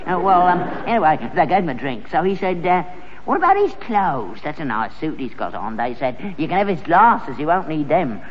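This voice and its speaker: A muffled, reverberant old sitcom recording carries a stubborn, crafty old Cockney father. He rambles, stammers and scoffs in working-class London speech, his pitch leaping about. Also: big pitch swings, moderate pace. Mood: gleeful storytelling. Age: older. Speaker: sitcom actor. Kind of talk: vintage British sitcom acting. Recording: dull, noisy, reverberant archive sitcom recording.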